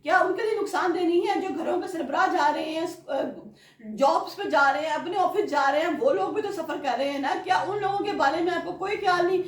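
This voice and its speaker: A woman's voice: extremely high pitch, brisk. A woman delivering an earnest political commentary monologue that builds to indignant rhetorical questions. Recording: roomy echo from a distance.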